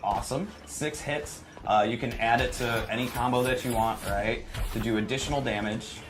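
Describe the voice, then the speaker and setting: A man's voice: mid-range voice, moderate pace, noisy background. An enthusiastic man presenting a video game demo in a casual commentary style. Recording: music playing behind the voice.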